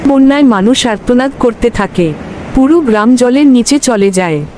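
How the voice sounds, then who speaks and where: High-voiced woman narrating a cartoon story. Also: animated, moderate pace, a little mellow, slight background noise. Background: background music.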